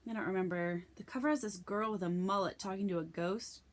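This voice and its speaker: A woman's voice: mid-range voice, a little fast, natural voice. Woman as a schoolboy, casual, slangy, laid-back, a bit vain, storybook dialogue. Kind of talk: children's book read-aloud.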